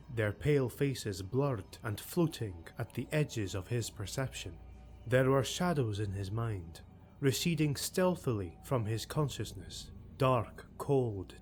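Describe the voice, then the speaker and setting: A man narrating dark fantasy prose as an audiobook reader, measured and dramatic. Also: medium pitch.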